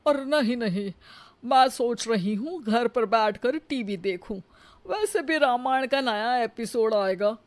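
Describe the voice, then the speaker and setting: A woman's voice: fairly high pitch, lively intonation, unhurried. A woman voicing a simple, proud middle-aged mother-in-law in a cartoon moral story, homely, plain-spoken and emphatic. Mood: reluctant.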